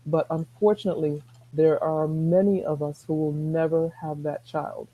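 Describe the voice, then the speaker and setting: A middle-aged woman with a soft-spoken voice, talking earnestly as a guest on a video call, rambling with restarts. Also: low voice, fairly even intonation, normal speed, narrow, tinny audio. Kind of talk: casual chat.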